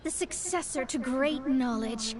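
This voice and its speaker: A haughty young astrologer. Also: female voice, high voice, animated, measured pace, crowd noise. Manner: haughty, formal, self-assured. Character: proud young woman astrologer. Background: game music.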